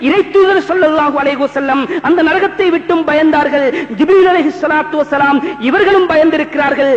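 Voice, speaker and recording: A male preacher delivers an Islamic sermon in an extremely high-pitched voice. His delivery is dramatic and urgent, built on repetition, rhetorical questions and recited Arabic verses. The recording is dull and muffled, with long hall reverberation around every phrase. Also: moderate pace. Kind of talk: impassioned Islamic sermon with Arabic scripture quotations.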